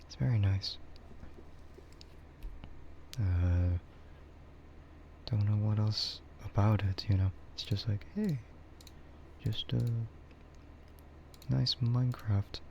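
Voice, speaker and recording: A man chatting casually and soft-spoken with his livestream viewers, rambling in a relaxed way. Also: on the low side, slow pace, creaky, long pauses, lo-fi sound, slight background noise. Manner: relaxed, soft-spoken ASMR yapping, often chuckling. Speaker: streamer, VTuber host.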